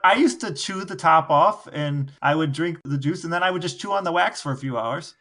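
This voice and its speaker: A man's voice: on the high side, fairly quick, fairly bright tone. A man co-hosting a podcast, chatting casually and giving his opinions. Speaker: podcast co-host.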